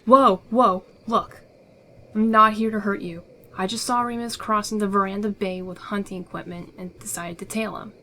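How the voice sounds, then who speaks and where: A woman's voice: medium pitch, fairly even intonation, moderate pace, sharp tone, slight background noise. A woman playing a confident, secretive smuggler in a fantasy ASMR roleplay, with an assured, persuasive, theatrical delivery. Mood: placating. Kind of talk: ASMR audio roleplay acting.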